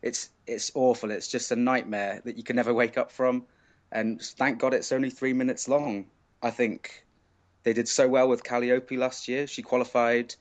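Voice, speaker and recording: A man on a panel giving animated, emphatic opinions, often repeating words for stress. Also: mid-range voice, normal speed. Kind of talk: opinionated music commentary.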